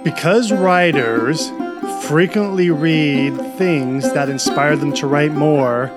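A podcast host introducing his guest in a measured, deliberate way and asking questions. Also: a little high, loud music behind the voice. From Montana. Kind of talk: interview hosting.

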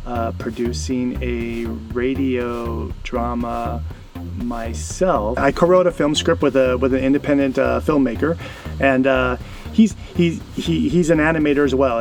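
A man hosting a podcast in a casual, conversational style, with halting phrasing that often repeats words. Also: mid-range voice, fairly even intonation, gravelly, music in the background. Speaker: podcast host. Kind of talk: casual interview and podcast narration.

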